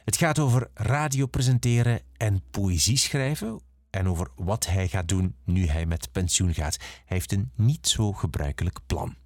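A man's voice: low voice, normal speed, darkish tone, no room sound, studio quality. A man with a Flemish accent, a podcast host reading a scripted introduction.

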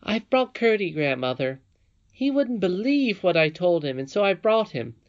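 A woman's voice: fairly low pitch, big pitch swings, normal speed, muffled recording, pitched above the performer's own voice. A woman voicing an earnest young princess in a storybook, eager and easily wounded. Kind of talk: storybook character reading.

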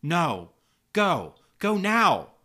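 A man's voice: medium pitch, lively intonation, takes it slowly, bright, forceful tone, pitched above the performer's own voice. Guy doing a brave young superhero girl, really emotional and theatrical, comic book drama. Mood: defiant, urgent.

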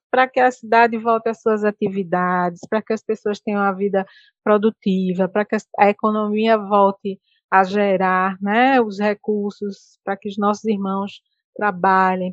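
A woman's voice: mid-range voice, no echo, highs cut off. Earnest woman giving advice. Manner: earnest, advising, repeats and restarts. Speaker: health guest. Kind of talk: casual interview talk.

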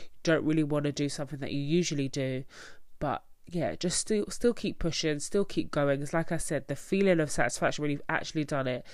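A woman's voice: deep voice, fairly quick, no echo, clean studio sound. A young woman podcast host with a nasal, stuffy voice, under the weather, talking earnestly and encouragingly to her listeners. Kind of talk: solo reflective podcast talk.

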